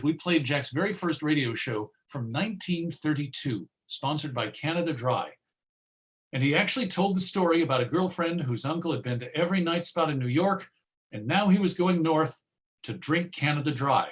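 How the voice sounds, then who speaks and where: Male presenter, slightly high voice, informal explanatory talk about vintage radio. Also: a bit bright. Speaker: presenter of old-time radio show. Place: speaking to an unseen remote audience.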